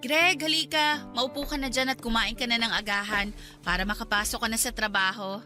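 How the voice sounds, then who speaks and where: A woman's voice: fairly high pitch, steady tone, brisk, music in the background, natural voice. A woman playing a hurt, jealous wife in a Tagalog radio drama, nagging and pleading with emotion. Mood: caring, casual. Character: hurt, jealous young wife confronting her husband.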